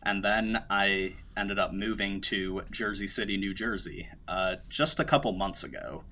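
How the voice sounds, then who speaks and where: Young man, podcast guest, low-pitched with a bright edge, loose rambling delivery. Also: measured pace, a little hiss or hum. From United States. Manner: relaxed, rambling with many fillers and restarts. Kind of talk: casual conversation.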